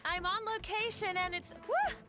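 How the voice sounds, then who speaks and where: A woman's voice: very high-pitched, lively intonation, normal speed. An actress playing a perky, cheeky female TV weather reporter, with bubbly, exaggerated broadcast delivery in a game trailer parody. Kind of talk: parody live news forecast. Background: trailer music.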